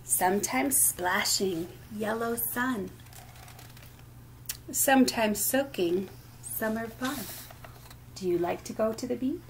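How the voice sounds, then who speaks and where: A woman with a slightly high voice hosts story time for young children. She takes her time, delivering picture-book words in expressive, deliberate phrases. There is faint noise in the recording. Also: low-quality recording.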